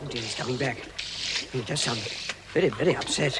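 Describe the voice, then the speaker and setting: A man playing a fussy, nervous, polite little gentleman in a vintage radio drama, stammering. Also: mid-range voice, fairly quick, close to an everyday voice. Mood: worried musing. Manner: flustered, stammering. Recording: very noisy vintage radio recording.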